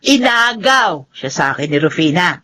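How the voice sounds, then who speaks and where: Bright, feisty older Filipino wife. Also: female voice, fairly low pitch, very animated, normal speed, exaggerated voice. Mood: indignant, bitter. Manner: combative, sarcastic, scornful. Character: feisty, grudge-holding older wife and grandmother. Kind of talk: Filipino radio drama acting.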